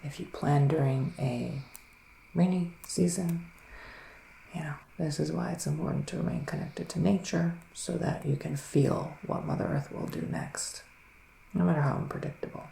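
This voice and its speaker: A man playing a gentle psychic witch in an ASMR roleplay, speaking in a soft, musing whisper with long pauses. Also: fairly high pitch, steady tone, gravelly, echoey room, a little hiss or hum, low-quality recording. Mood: hushed, musing. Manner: slow, hushed, musing, with drawn-out pauses. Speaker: ASMR performer giving a psychic reading.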